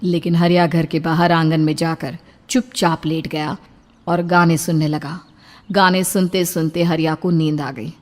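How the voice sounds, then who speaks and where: A woman's voice: low-pitched, little pitch movement, a little slow. A woman narrator reading a scripted horror story in a dramatic style. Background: music.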